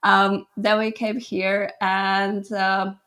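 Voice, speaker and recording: A woman's voice: medium pitch, level tone, drawn-out words, bright, forceful tone. A woman in her twenties from Latvia, a guest photographer telling her story in a modest, laughing way. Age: 20s. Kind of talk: casual interview chat.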